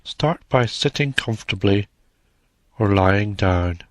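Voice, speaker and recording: Man, lowish voice, meditation guide, slow soothing delivery, long pauses, dry close recording. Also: lively intonation, a bit bright, no echo.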